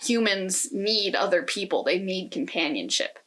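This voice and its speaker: A woman's voice: mid-range voice, animated, unhurried, a bit bright. A woman co-hosting a podcast, casually talking through a book in a thoughtful, opinionated way. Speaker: podcast co-host.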